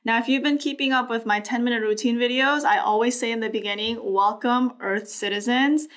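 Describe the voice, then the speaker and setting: A woman in her thirties from Korea hosting a podcast, chatting quickly with lots of "like" and "you know". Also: fairly high pitch, fairly even intonation, a bit bright. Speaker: podcast host. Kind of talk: casual podcast chat. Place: small bright studio room, indoors. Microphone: desk microphone.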